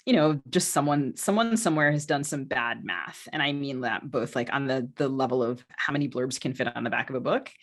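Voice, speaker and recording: A woman's voice: very deep voice, a little fast, hardly any pauses. A woman in a casual podcast conversation, speaking as a novelist and co-host in a hesitant, circling way with many qualifications.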